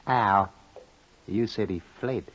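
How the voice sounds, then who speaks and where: An actor playing a slow-witted, rough, greedy jailed husband in an old radio drama, ponderous and grunting. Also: male voice, fairly low pitch. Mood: puzzled, wary. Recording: dull old radio recording, loud noise.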